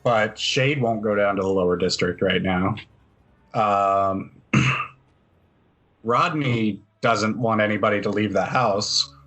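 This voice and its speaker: A man's voice: lowish voice, takes it slowly, long pauses, fairly bright tone, faint music behind, rough recording. A man acting as game master, casually laying out rulings and questions in a matter-of-fact way.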